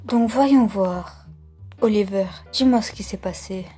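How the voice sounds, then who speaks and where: A woman's voice: medium pitch, unhurried, warm tone, soft background music, lightly acted. A woman voicing a stern, unfair school authority, formal and condescending, in a fan-dubbed cartoon. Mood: questioning. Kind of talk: fan-dub cartoon acting.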